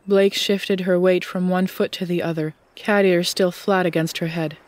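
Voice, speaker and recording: A woman's voice: fairly low pitch, fairly even intonation, moderate pace, darkish tone, dry recording. A woman reading scripted story narration as the narrator of an audiobook.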